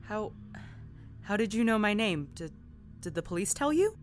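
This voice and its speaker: A woman as an earnest investigator, mid-pitched voice. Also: big pitch swings, moderate pace, faint music behind, close to an everyday voice. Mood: shaken, fearful. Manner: insistent, questioning. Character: earnest, questioning, uneasy young woman investigator. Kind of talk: audio drama acting.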